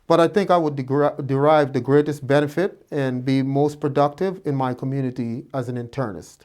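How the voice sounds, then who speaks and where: Guyanese-born doctor, reflective storyteller. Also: male voice, medium pitch, steady tone, brisk, a little mellow. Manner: reflective, frequent uh fillers and restarts. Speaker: doctor interviewed by students. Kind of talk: relaxed personal storytelling.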